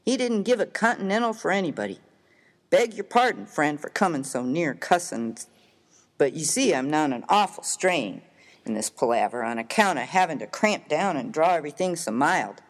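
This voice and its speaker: A man with an American accent playing a rough, slangy, grieving miner of the Old West in a dramatized comic story, with a folksy, emphatic delivery. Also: a little high, lively intonation, normal speed, lightly acted. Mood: mournful, admiring. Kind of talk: dramatized reading of a humorous story. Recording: reverberant hall-like room.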